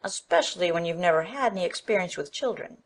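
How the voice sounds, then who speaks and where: A woman's voice: lowish voice, lively intonation, quick pace. A woman playing a blunt, gossipy, disapproving middle-aged busybody in a dramatized audiobook. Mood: gloomy disapproval. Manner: outspoken, emphatic.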